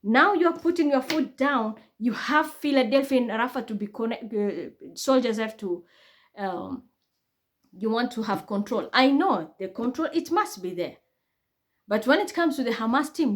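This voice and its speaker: Blunt Kenyan woman ranting. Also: high-pitched. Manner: blunt, swears, rises to heated outbursts. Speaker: online commentator. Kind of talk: impassioned monologue.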